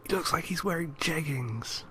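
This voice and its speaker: A man's voice: on the high side, lively intonation, moderate pace, pushed and bright, a little hiss or hum. A man co-hosting a casual podcast, bantering in a skeptical, teasing way. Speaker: podcast co-host.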